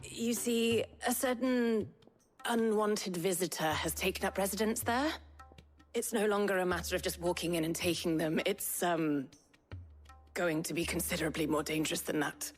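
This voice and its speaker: Woman acting lowish-voiced, earnest scholar engineer. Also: normal speed, lightly acted. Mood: sheepish. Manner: formal, didactic, precise. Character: earnest, long-winded woman scholar engineer, politely awkward. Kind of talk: video game voice acting. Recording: music behind the voice.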